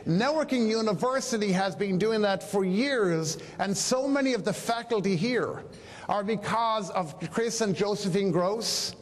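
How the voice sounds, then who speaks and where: A man serves as emcee at a convention and hypes up the next speaker. His voice is notably high and eager. It rings out through a large hall with long reverb. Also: measured pace. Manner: enthusiastic, building to a shouted introduction. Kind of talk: stage introduction.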